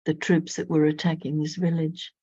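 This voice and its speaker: A woman speaking as an astrologer guest in an interview, thoughtful and measured. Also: sits low, little pitch movement, dark tone, slightly rough, no room sound. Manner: thoughtful, measured, with frequent "you know". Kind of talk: reflective conversational interview. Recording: dull lo-fi audio.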